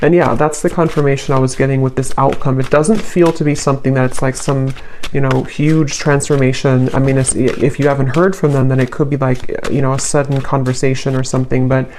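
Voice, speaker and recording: A woman giving a casual tarot reading as host, musing aloud in a halting, tentative way. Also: extremely low pitch, level tone, a little mellow, a little hiss or hum. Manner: halting, musing, hedging delivery. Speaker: tarot reader, channel host.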